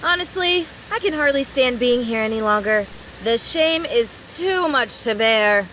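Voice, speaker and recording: A woman's voice: on the high side, animated, a little slow, bright, forceful tone, slight background noise, poor audio. A woman voice-acting a brash, mischievous young woman fighter from a video game, teasing in a playful, folksy, mock-serious way. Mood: mock outrage.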